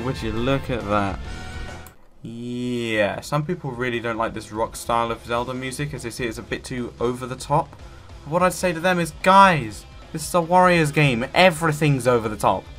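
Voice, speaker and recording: Male game reviewer, mid pitch, scripted narration, wry joking asides, light music bed. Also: fairly bright tone.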